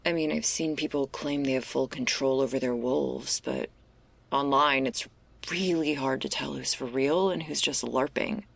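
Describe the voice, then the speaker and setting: A woman playing a laid-back, restless stoner werewolf in an intimate ASMR roleplay, husky and gravelly, confiding in a casual, hesitant, slangy way. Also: a bass voice, fairly even intonation, normal speed, dry recording, full character voice. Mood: matter-of-fact.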